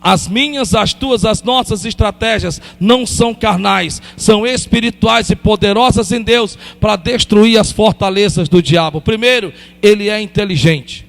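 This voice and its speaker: A high-pitched, gravelly male preacher, driving his points home with insistent repetition. His voice rings out in a church hall with a long reverb tail. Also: normal speed, hardly any pauses, fairly bright tone, faint music behind. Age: 50s. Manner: repetitive, emphatic, calls on the congregation. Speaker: pastor. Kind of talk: sermon.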